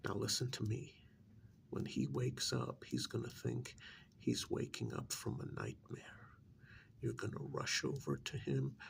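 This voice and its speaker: Male actor as exasperated agency handler, low-pitched, slow and halting, soft half-whisper, menacing emphasis, ASMR role-play. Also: sing-song, slight background noise, heavily acted. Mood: stern instructing. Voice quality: gravelly, breathy half-whisper. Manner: deliberate, halting, menacingly emphatic, repeats words for weight. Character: stern, exasperated male handler running a covert containment operation.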